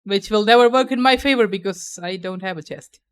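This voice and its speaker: A woman's voice: mid-range voice, a little fast, clean tone. A young adult woman chatting casually on a stream, playful and opinionated, laughing often.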